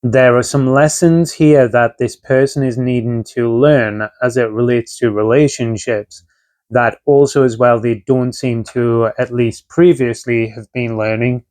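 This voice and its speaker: A man's voice: medium pitch, fairly even intonation, measured pace, darkish tone, no echo. A man giving a casual tarot reading, talking conversationally with frequent fillers while getting over a chest infection. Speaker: tarot reader.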